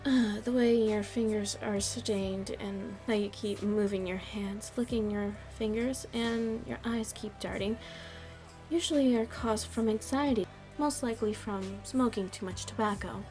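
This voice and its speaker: A woman playing a shrewd, proud nightclub headliner in the mafia in an ASMR roleplay, speaking deliberately in a haughty, taunting manner. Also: mid-range voice, fairly even intonation, darkish tone, faint music behind, natural voice. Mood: coolly analytical. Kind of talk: ASMR roleplay audio drama.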